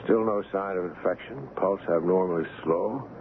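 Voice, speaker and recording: An actor in a radio drama playing a skeptical, concerned ship's doctor, earnest and expressive. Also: male voice, sits low, moderate pace. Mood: concerned, baffled. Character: skeptical, concerned young ship's doctor, recalling his voyage. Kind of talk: radio drama acting with first-person narration. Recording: noisy, phone-like old radio broadcast.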